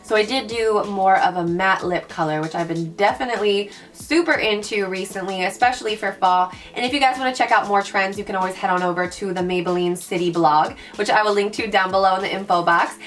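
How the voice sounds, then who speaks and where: A young woman with a raspy voice from recent illness, chatting bubbly through a makeup tutorial and calling her viewers guys. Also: mid-range voice, fairly quick. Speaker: beauty vlogger. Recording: mic at a distance, soft background music.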